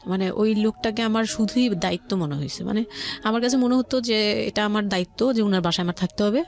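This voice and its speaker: A woman with a Dhaka Bangladeshi accent telling her life story as a guest, haltingly, often rephrasing as she explains. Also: mid-range voice. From Old Dhaka, Bangladesh. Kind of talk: personal storytelling in conversation. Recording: music playing behind the voice.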